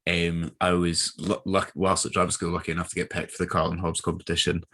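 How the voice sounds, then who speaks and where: A man's voice: deep voice, little pitch movement, fast, sharp tone. A young man with a Scottish accent, chatting casually and bantering in a playful, joking way as a guest. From Scotland. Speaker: actor, podcast guest.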